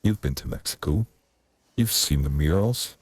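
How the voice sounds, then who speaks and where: A low, slightly husky man's performance of a rambling theatrical gentleman in a narrative game. He speaks deliberately and wordily, with a wistful, earnest temper. The recording is close and dry. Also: expressive, dry recording, heavily acted. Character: Carrington, wistful rambling male theatre man pleading his case.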